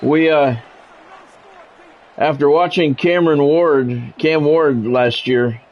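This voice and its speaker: A man's voice: on the high side, fairly even intonation, takes it slowly, highs cut off. A man doing casual sports game commentary, drifting between announcer-style play calls and conversational musing.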